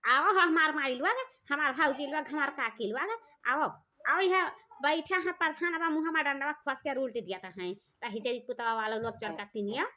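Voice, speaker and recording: High-pitched scolding village woman played by an actress. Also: normal speed, close to an everyday voice. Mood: accusing. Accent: eastern Uttar Pradesh. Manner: scolding, repetitive, confrontational. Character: angry village woman farmer accusing thieves of stealing peanuts. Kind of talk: comic village cartoon dialogue. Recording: dull lo-fi audio.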